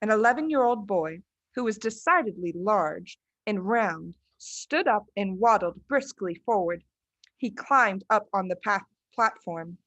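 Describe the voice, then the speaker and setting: A woman's voice: medium pitch, animated, a little slow, a bit bright, dry recording, lo-fi sound. A woman reading a children's book aloud as its narrator, in scripted storytelling style.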